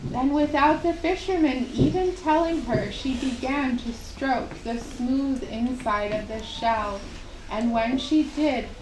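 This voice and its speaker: A woman telling a scripted children's story in a gentle, deliberate way, as at a puppet show. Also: on the high side. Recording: roomy, distant microphone, faint background noise.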